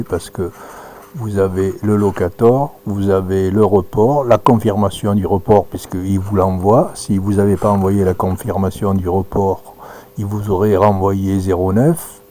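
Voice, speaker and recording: A man giving an informal live demonstration as an amateur radio operator, halting and thinking aloud as he works. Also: deep voice, mellow. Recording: noisy recording with audible hum.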